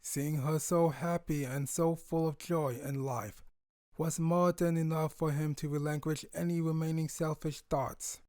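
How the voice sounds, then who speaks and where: Guy reading a sentimental story out loud, smooth kinda high voice, no room sound. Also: fairly even intonation, measured pace, dry recording, studio quality. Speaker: fan fiction narrator. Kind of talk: scripted story narration.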